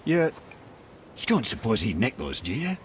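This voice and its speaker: An actor playing a talkative, learned companion in a video game, brotherly and candid with a wry, conversational manner. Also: male voice, medium pitch, wildly swooping pitch, measured pace, a little hiss or hum. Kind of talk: dramatic video game acting.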